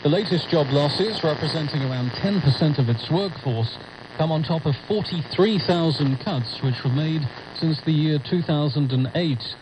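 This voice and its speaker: Higher-voiced male newsreader through heavy radio noise. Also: moderate pace. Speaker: news reader. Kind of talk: scripted radio news bulletin. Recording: very noisy shortwave radio broadcast.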